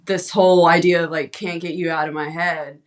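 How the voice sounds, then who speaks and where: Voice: medium pitch, level tone. A band member explaining a song in casual interview talk, hesitant and full of "um" and "like.". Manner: slow, hesitant, many fillers.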